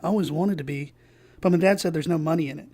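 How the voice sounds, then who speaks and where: A man's voice: fairly high pitch, fast talker, a little mellow, natural voice. An actor playing an earnest young male analyst in an audio drama, polite and hesitant. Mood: earnest, deferential. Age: young adult. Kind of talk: naturalistic dramatic acting.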